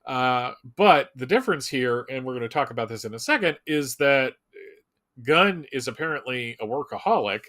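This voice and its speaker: A man's voice: medium pitch, lively intonation, normal speed, fairly bright tone. Man in casual, emphatic fan talk. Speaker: Superman fan commentator on a fan live show.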